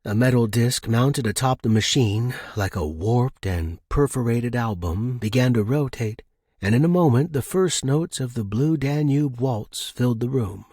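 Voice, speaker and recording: Male audiobook narrator, mid-pitched and a little rough-edged, reading scripted fiction. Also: animated, unhurried, mellow, no echo, studio quality.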